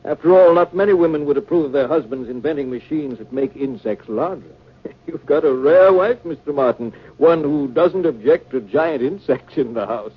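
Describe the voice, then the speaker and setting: An actor playing a genial, fatherly older university dean in a 1950s radio drama, formal and measured. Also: a little high, crowd noise, natural voice. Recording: muffled old 1950 radio recording.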